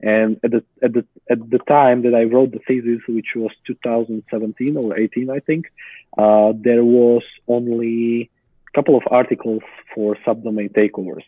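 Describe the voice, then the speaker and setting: A man's voice: medium pitch, flat delivery, normal speed, dry recording. A young man from Slovakia with a Slovak accent, a guest explaining his research in casual chat, thoughtful with many fillers. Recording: tinny phone-like call audio.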